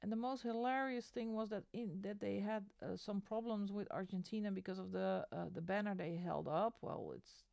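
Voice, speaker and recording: A woman's voice: medium pitch, moderate pace, vocal fry, hardly any pauses, darkish tone, no echo. A woman streamer chatting casually while she colors, given to exasperated rants, speaking into a desk microphone. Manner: ranting, exasperated asides; sings along to music between chat.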